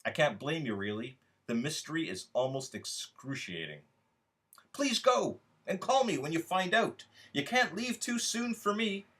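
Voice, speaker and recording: A man's voice: fairly high pitch, animated, measured pace, fairly bright tone, breathy edge, pitched above the performer's own voice, heavily acted. A man reading a literary monologue as a needy, sarcastic, lonely storyteller, with emphatic swings in delivery. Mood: bitter resignation. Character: needy, sarcastic, aggrieved lonely storyteller addressing a bored companion. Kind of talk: dramatic first-person literary reading.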